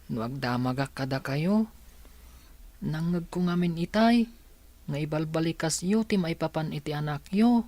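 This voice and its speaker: A man's voice: a little high, darkish tone, natural voice. A man playing a polite, worried, orphaned young student who speaks respectfully and earnestly in a radio drama. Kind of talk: Ilocano radio drama acting.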